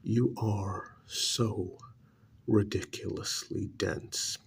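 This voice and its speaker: A man performs a rich, condescending older uncle in an ASMR roleplay. His voice is extremely husky and crackles with heavy fry. He speaks very slowly, letting each sarcastic, irritated phrase hang. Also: on the low side, steady tone, full character voice. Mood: haughty annoyance. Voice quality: gravelly, husky, breathy. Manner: slow, condescending, drawn-out sarcasm with pauses.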